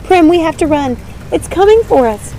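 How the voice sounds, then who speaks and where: A woman voicing an excitable, frightened young witch sister in a storybook, urgent and exclaiming. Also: very high voice, normal speed, a little hiss or hum, pitched above the performer's own voice. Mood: panicked urgency.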